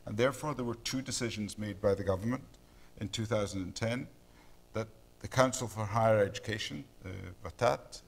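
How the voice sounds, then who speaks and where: A middle-aged man with a Scottish accent gives a measured academic lecture. His voice sits a little low. He pauses often with ums and uhs as he finds his words. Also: gravelly, husky. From Scotland.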